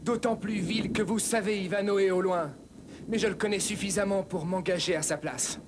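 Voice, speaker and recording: Young exiled knight, male actor: fairly high voice, loyal, formal and earnest, cartoon dub over clear background noise. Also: steady tone, moderate pace, lightly acted. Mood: indignant. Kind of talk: dubbed cartoon acting.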